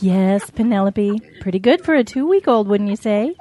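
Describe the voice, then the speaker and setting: A woman's voice: medium pitch, lively intonation, normal speed, warm tone, close to an everyday voice. A woman voicing a proud, assured mother cow in a children's audio drama.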